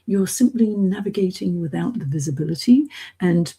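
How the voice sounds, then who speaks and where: A woman's voice: fairly low pitch, expressive, moderate pace, mellow, slightly rough. A middle-aged woman with a British accent, hosting an informal live coaching session and speaking directly and encouragingly to her listeners. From Hong Kong, as a British expatriate. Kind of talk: informal live-stream coaching talk to an audience.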